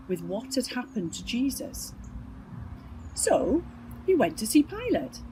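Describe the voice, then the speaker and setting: A woman's voice: fairly high pitch, warm tone, poor audio. A woman reading a children's Bible story from a script. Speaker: storybook reader.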